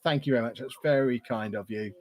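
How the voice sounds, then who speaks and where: A man chats his way through a livestream Q&A. He rambles, reads viewer questions aloud and answers them at his own pace. His voice sits at an everyday middle pitch. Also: fast, a little mellow. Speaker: YouTuber hosting a livestream Q&A. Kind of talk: casual livestream chat.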